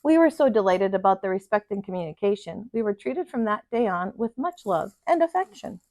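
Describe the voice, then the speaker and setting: A woman reading a children's picture book aloud in an expressive kindergarten teacher's style. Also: mid-range voice, a little fast, no echo. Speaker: kindergarten teacher, storybook reader.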